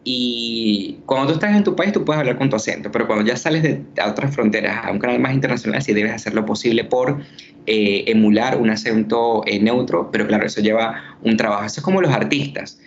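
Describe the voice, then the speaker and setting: A man explains things patiently, like a teacher, pausing on fillers as he goes. His voice sits in an ordinary middle range. The call audio is a little dull, with faint noise behind it. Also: medium pitch, gravelly. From Venezuela. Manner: earnest, teacherly, many fillers. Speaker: journalist and university teacher. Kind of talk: explanatory interview answers.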